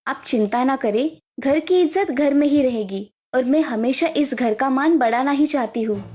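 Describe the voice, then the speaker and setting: High, mellow, determined young village wife voiced by a woman. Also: expressive, moderate pace. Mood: firm. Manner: earnest, polite but firm. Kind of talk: Hindi animated moral story dubbing.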